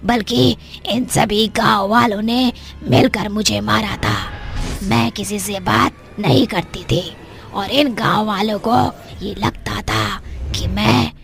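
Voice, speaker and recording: A woman's voice: mid-range voice, expressive, slow pace, creaky, a bit bright, soft background music, full character voice. A woman playing a sorrowful, vengeful witch ghost, a wronged mother, in a dramatised horror story. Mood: bitter grief.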